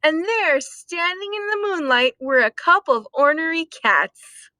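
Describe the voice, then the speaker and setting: High, bright woman reading a storybook. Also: a little slow, no room sound. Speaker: storytime reader. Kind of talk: expressive children's story read-aloud.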